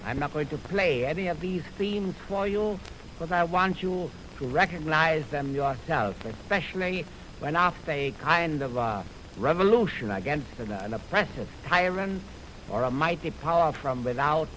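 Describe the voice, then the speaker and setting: A man giving a lofty, dramatic music introduction with deliberate phrasing, in the style of a 1920s radio commentator. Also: a little high, animated, a bit bright. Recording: noisy 1929 radio aircheck from optical film. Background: clear background noise.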